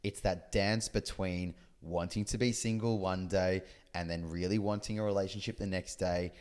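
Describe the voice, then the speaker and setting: A man's voice: low-pitched, steady tone, normal speed, darkish tone, smooth. A man in his twenties co-hosting a podcast, friendly and easygoing, steering the casual chat. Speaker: podcast co-host.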